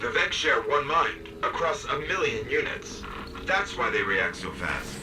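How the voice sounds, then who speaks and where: An actor playing a small, curious robot companion in a video game, speaking in clipped, inquisitive phrases over music. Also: male voice, medium pitch, big pitch swings, a little slow, background noise. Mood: curious, focused. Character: small male robot companion, curious, brisk, quietly awed. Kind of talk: video game character dialogue. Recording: loud music behind the voice.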